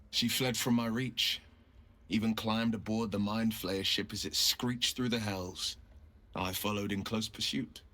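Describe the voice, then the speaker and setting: Low-voiced gallant heroic man. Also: a bit bright. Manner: theatrical, earnest storyteller. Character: gallant, earnest male monster-hunting folk hero. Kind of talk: fantasy video game acting.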